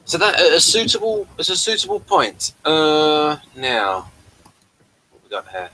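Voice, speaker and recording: Bright-voiced man chatting through a casual review. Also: a little high, very animated, low-quality recording. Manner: halting, rambling delivery. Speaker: host of a TV review talk.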